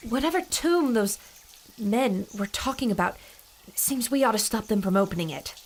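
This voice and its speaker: A woman playing a quick-witted adventurer. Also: medium pitch, animated. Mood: earnest. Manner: earnest, alert narration and dialogue. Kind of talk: audio drama acting.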